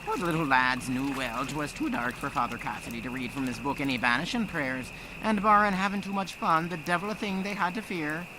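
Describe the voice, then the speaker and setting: A man's voice: fairly high pitch, lively intonation, a little fast, hardly any pauses, background noise. A man narrating a folk tale as a dramatic storyteller.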